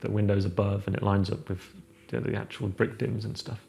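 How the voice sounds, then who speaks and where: A young architect chatting casually while showing a room in a house, rambling with many fillers and restarts. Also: male voice, on the low side, level tone, a little fast, gravelly, a little mellow. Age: young adult. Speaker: architect guest. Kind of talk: casual chat, house tour. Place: large open-plan house living room, indoors.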